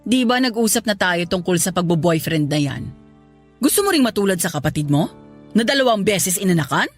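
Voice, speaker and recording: A woman's voice: on the low side, sing-song, a little fast, soft background music. Stern, scolding Filipino mother, swooping delivery. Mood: suspicious. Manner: scolding, domineering. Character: stern, bitter middle-aged mother who distrusts men. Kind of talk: Tagalog radio drama acting.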